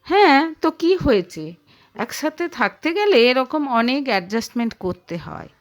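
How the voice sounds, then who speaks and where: Woman acting a high-voiced proud young wife. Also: very animated, normal speed. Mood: defensive.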